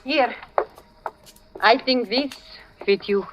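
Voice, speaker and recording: A woman's voice: on the high side, slow, noisy background. An actress playing a lonely, protective Cuban woman of the night in a 1940s radio thriller, speaking sultry, matter-of-fact broken English with a Spanish accent. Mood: helpful. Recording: vintage radio drama recording.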